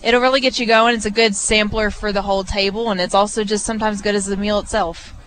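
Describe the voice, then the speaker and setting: A woman's voice: mid-range voice, fairly even intonation, fast, a bit bright, slight background noise, low-quality recording. A woman in her twenties, from Arkansas, talking casually as a guest on a live radio broadcast from a restaurant bar. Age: 20s. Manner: easygoing, upbeat, lots of fillers. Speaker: guest, restaurant assistant manager. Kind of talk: casual on-air chat.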